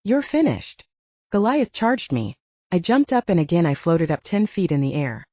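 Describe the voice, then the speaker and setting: Low, bright-voiced woman narrating with lively swings. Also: moderate pace, dry recording, dull audio. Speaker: audiobook narrator. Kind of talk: dramatic first-person fiction narration.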